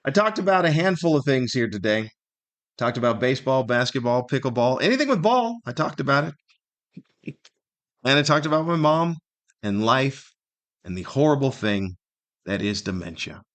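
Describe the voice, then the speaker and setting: Middle aged american guy doing a solo podcast, mid pitch voice, rambly and conversational like a comedian chatting. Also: very animated, moderate pace, long pauses, fairly bright tone. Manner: rambling, conversational, acts out imagined remarks. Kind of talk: casual solo podcast monologue.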